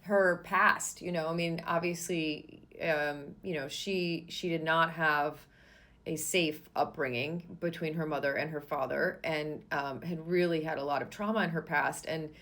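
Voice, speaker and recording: A woman's voice: low voice, steady tone, unhurried, fairly bright tone. A woman hosting a podcast, talking to her guest in an enthusiastic, gushing way with lots of "you know" and "like". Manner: enthusiastic, gushing, many fillers. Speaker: podcast host. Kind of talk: casual interview chat.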